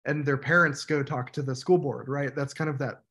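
A man in his thirties leading an online workshop on a video call, explaining points in a measured way while his voice goes hoarse. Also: medium pitch, steady tone, fairly bright tone. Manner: measured, explanatory, says "right?" often. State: losing his voice, clearing throat. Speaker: workshop facilitator. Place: room at home, indoors.

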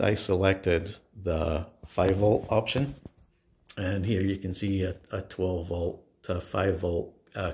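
A man giving a casual how-to narration of a home installation, explaining step by step with halting pauses mid-sentence. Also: low voice, gravelly, darkish tone. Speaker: DIY home installer presenting his project. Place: indoors in a home.

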